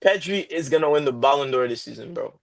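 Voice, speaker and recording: A young man co-hosting a podcast, making emphatic, excited football claims in casual slang. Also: mid-range voice, fast talker, gravelly, a loud crowd. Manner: emphatic, peppers every line with "bro". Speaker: podcast co-host.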